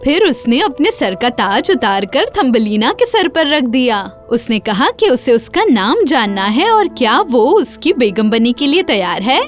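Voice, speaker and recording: A woman's voice: fairly high pitch, big pitch swings, few pauses, faint music behind. A woman narrating a scripted fairy tale for children as the storyteller.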